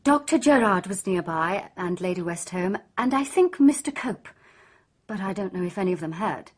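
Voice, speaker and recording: A woman's voice: medium pitch, lively intonation, normal speed, breathy edge. An actress playing a forthright, educated young woman, direct in manner, in a radio drama. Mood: uneasy, direct.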